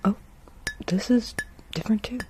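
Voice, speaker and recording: A woman whispering softly and slowly in a soothing, repetitive ASMR style. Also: a little high, noisy background. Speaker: ASMR creator.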